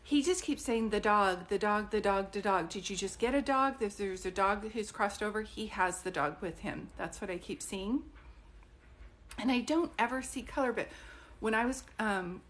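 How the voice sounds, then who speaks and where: A woman's voice: mid-range voice, lively intonation, normal speed, fairly bright tone. A middle-aged woman working as a psychic medium, chatting casually on a live stream, thinking aloud and often stopping to restart a word.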